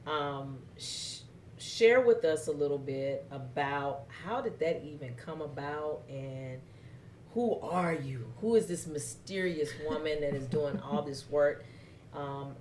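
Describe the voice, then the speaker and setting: Loud low-voiced woman host. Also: reverberant, a little hiss or hum. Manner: loud voice that carries, lively storytelling, many fillers. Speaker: talk show host. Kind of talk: casual chat.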